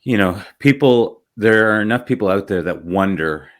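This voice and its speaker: A man's voice: lowish voice, lively intonation, moderate pace. A man hosting an interview, asking questions in a hesitant way, with many restarts. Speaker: podcast host, interviewer. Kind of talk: casual interview conversation.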